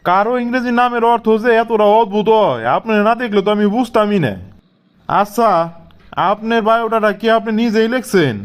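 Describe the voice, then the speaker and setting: A man's voice: high-pitched, brisk, smooth, natural voice. A man voicing an exasperated, bossy job interviewer in a comedy cartoon, with a Bangladeshi accent and colloquial speech. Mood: puzzled, questioning. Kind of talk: comic cartoon dialogue.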